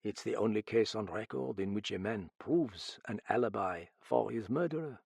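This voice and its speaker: A man voicing a calm, commanding French detective in a mystery story, speaking in a grave, measured and pointed way. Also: on the low side, gravelly, husky, dry recording, clean studio sound. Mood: musing, probing.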